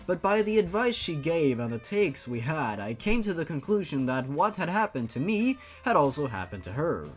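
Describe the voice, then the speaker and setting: A man reads fan fiction aloud in a slightly high, very animated voice with music behind him. He stretches words for dramatic effect and slips into a mocking, sarcastic tone. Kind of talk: dramatic mocking story reading.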